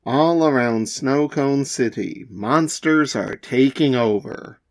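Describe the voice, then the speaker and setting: Man as a slow, portentous comic-book narrator. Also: medium pitch, voice pushed down, lightly acted.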